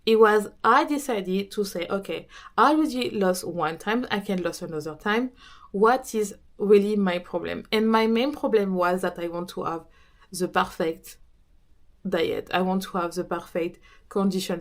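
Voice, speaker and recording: French-accented woman, coach and podcaster, casual halting delivery, mid-range voice. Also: mid-range voice. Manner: halting, searching for words, frequent restarts. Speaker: podcast host and business coach. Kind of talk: casual talk to camera.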